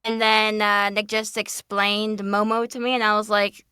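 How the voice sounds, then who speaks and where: A woman's voice: medium pitch, flat delivery, normal speed, bright, forceful tone, smooth, dry recording, clean studio sound. A woman voice actor chatting casually and playfully on a panel. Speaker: voice actor on a fan dub Q&A panel.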